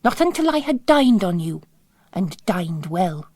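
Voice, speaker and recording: Mysterious priest stranger, played by a woman reader: calm, sermonizing, subtly sinister, unhurried, close dry sound. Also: lowish voice, dry recording. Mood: quietly menacing. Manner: measured, formal, sermonizing. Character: mysterious male priest stranger, calm, philosophical, quietly menacing.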